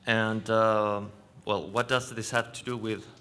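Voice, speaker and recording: A man with a Chilean Spanish accent giving a conference presentation, explaining in a halting way and correcting himself. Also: fairly low pitch, flat delivery, sharp tone, clean tone. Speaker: panel speaker. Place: conference venue stage.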